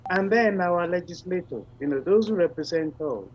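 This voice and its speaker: A man's voice: high-pitched, animated, normal speed, lo-fi sound, a little hiss or hum. A man calling in with questions, a little apologetic.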